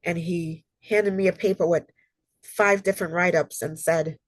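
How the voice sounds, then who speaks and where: A woman's voice: on the low side, fairly even intonation, normal speed, no echo, rough recording. Nasal-sounding woman presenting online, recovering from a cold. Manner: earnest, conversational, with frequent ums and personal stories. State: getting over a cold, slightly nasal. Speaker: human rights consultant and presenter. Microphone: video call.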